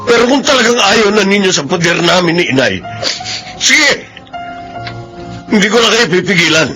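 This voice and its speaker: High-voiced, earnest Filipino father. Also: animated, normal speed, sharp tone, faint music behind, rough recording. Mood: hurt, reproachful. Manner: earnest, weighty, melodramatic. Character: earnest, wounded middle-aged father and son-in-law. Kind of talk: Filipino radio drama acting.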